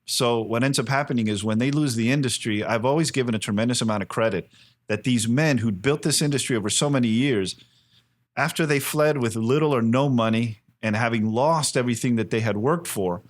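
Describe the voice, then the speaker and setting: A man's voice: mid-range voice, a little fast. An American man in his fifties speaking earnestly and emphatically as an interview guest, telling stories in a conversational way. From Miami, United States. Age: 50s. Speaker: interview guest, cigar company president.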